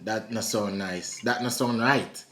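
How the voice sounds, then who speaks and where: A man's voice: on the low side, steady tone, a little slow, bright, forceful tone, slight background noise. A man from New York hosting a prank video call, talking playfully in a put-on Jamaican patois. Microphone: phone on a video chat app.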